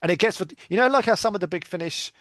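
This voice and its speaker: A man's voice: high voice, rapid-fire, a bit bright, no echo. A man chatting casually as a podcast guest, in a rambling, chatty way. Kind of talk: casual chat.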